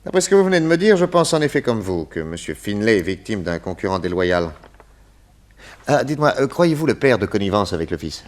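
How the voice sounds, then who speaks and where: Male detective chief, low register, animated delivery, methodical, wry, courteous, 1960s French radio serial. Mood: inquiring. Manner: probing, hesitant questions alternating with brisk orders.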